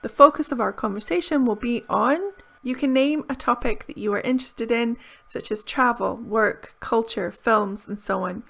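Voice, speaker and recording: A woman teaching to camera with a bright, upbeat delivery, every word clearly enunciated. Her voice sits a little high and has a gravelly fry at the ends of phrases. She sounds close to the mic in a small indoor room. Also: normal speed. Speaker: English teacher. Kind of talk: scripted video lesson.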